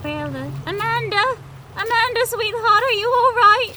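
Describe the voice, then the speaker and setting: A woman's voice: expressive, normal speed, noisy background. A woman voicing a kindly elderly female neighbor who fusses over the narrator in a motherly, fretful way. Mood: worried. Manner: fretful, motherly, high and thin.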